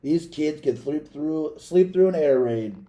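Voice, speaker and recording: A man voicing a grumbling French policeman in a storybook, with a drawn-out, sighing delivery. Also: fairly high pitch, expressive, mellow, reverberant, dull audio. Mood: sighing, wistful.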